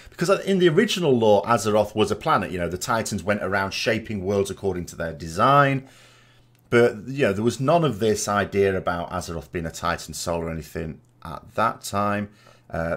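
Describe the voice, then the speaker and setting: A man hosting a vodcast, giving unscripted opinion commentary in a discursive way, reasoning aloud with rhetorical questions and asides. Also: fairly low pitch. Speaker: vodcast host, gaming lore commentator.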